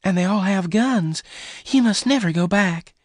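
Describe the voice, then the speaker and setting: A man voicing a gentle, anxious, devoted young woman of the frontier in an audiobook story, with soft, earnest emotion. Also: mid-range voice, measured pace, no echo, clean studio sound, pitched above the performer's own voice, natural voice. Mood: alarmed.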